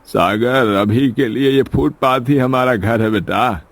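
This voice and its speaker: A man's voice: medium pitch, unhurried, no room sound, close to an everyday voice. A man voicing an affectionate old grandfather of a poor family in a moral story. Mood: fond.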